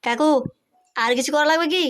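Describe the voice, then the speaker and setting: A woman's voice: sits high, a little fast, a bit bright. A woman voicing an eager, urgent young boy in a comic cartoon. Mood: anxious, eager. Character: eager young boy fetching help for friends.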